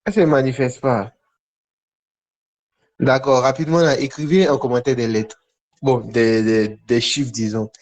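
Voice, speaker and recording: A man preaching in a conversational, charismatic style to online viewers, repeating short phrases for emphasis with pauses between them. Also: mid-range voice. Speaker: preacher hosting a live online prophetic session. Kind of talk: charismatic sermon and prophetic teaching, conversational, addressing viewers. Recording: dull, lo-fi livestream audio.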